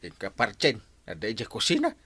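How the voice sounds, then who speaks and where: An actor playing a cranky, clueless older village captain in a comic radio drama, sputtering and hesitant. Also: male voice, lowish voice, huge pitch swings, normal speed, bright, forceful tone, breathy edge, heavily acted. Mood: hungry, cranky. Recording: radio drama with music behind.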